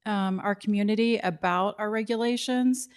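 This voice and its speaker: A woman project manager with an American Midwest accent and a low speaking voice, addressing residents at a public meeting in a welcoming, apologetic manner. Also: normal speed, clear, resonant tone.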